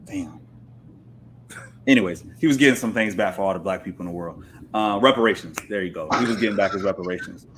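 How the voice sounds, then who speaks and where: A man's voice: low voice, quick pace, a bit bright, a little hiss or hum. A man recounting matches on a casual sports podcast in a colloquial, joking way. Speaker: podcast guest, football fan.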